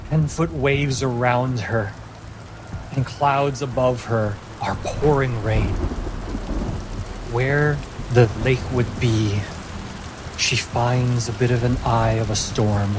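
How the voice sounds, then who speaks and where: A man working as game master, narrating a fantasy tabletop game in a measured way with dramatic pauses. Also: mid-range voice, noisy background. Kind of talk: slow narration of a fantasy tabletop game, casual chat afterwards.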